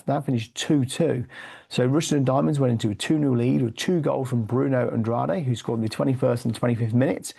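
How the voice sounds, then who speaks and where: A man's voice: mid-range voice, mellow. A man who has lost his voice to a cold, reviewing football league news with many fillers and restarts. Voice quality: hoarse, gravelly. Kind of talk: weekly football review, read from notes.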